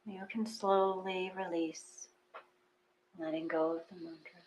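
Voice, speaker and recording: A woman leads a guided meditation in a fairly low voice, very slowly. She speaks soothingly, leaving space between short phrases. There is some hum and noise in the recording. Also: fairly even intonation, a little mellow, rough recording. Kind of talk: gentle guided meditation reading.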